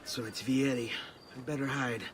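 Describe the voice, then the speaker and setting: A man's voice: mid-range voice, fairly even intonation, moderate pace, over loud music, natural voice. A man playing a cocky, charming young Italian nobleman with an Italian accent, in a video game. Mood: playful, calm. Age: young adult. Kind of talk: video game cutscene acting.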